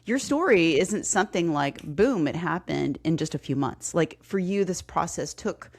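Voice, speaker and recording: A woman hosting a fitness podcast, speaking in a low register. She is enthusiastic and encouraging, and she often relates her guest's story to her own experience. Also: expressive, moderate pace. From Texas. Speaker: podcast host and fitness coach. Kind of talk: casual interview chat.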